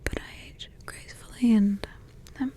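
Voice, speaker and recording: Woman whispering as a teasing old witch mother. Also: close to an everyday voice. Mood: teasing, gossipy. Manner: slow, intimate whispering with teasing chuckles. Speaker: ASMR roleplay host. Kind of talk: whispered ASMR roleplay.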